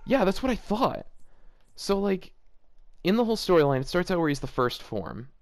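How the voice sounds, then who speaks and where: A man's voice: sits high, sing-song, normal speed. A man reading fan fiction aloud as a YouTuber, breaking off into casual, exasperated and baffled commentary.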